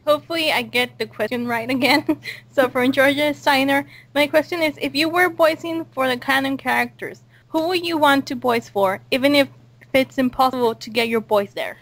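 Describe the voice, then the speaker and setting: A woman's voice: high voice, normal speed, fairly bright tone. A woman hosting a casual cast interview, talking in a hesitant, rambling way.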